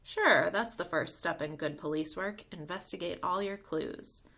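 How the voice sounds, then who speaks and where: A woman's voice: on the low side, unhurried, gravelly, pushed and bright, exaggerated voice. A woman reading a children's storybook, voicing an easygoing, matter-of-fact policeman father who explains things casually. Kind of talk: read-aloud children's storybook.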